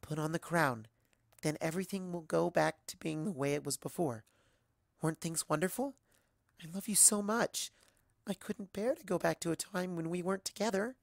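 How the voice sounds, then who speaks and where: A man's voice: fairly high pitch, normal speed, creaky, no room sound, studio quality, voice pushed up. A man voicing a tender, clinging female pony lover who pleads in a dramatic story reading. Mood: tender, coaxing. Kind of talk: dramatic fan fiction reading.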